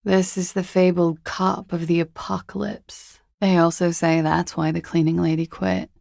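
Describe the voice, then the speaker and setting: An actress playing a cool, world-weary young expatriate woman in a video game, speaking with dry, languid irony in a husky, gravelly voice. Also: lowish voice, little pitch movement, normal speed, darkish tone, no room sound. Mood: wry, teasing. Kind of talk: video game character dialogue.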